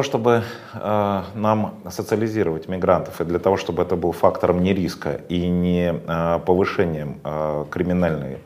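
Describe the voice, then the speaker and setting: A man speaking in a fairly low voice, answering at length in a political interview. He lectures emphatically, stressing points and filling pauses with hesitation sounds. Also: darkish tone. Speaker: interview guest.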